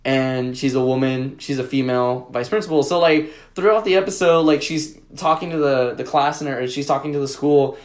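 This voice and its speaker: A man's voice: mid-range voice, moderate pace. A young man co-hosting a casual podcast, rambling and telling stories loosely. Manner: rambling storyteller, acts out quotes. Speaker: podcast co-host. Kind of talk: casual chat.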